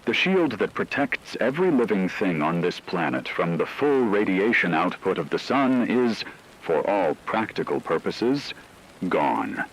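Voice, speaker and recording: A man playing a grave, formal radio newscaster delivering a measured, scripted emergency broadcast in a radio drama. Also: low voice, very animated, exaggerated voice, rough recording. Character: grave male radio newscaster announcing planetary catastrophe. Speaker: broadcast announcer.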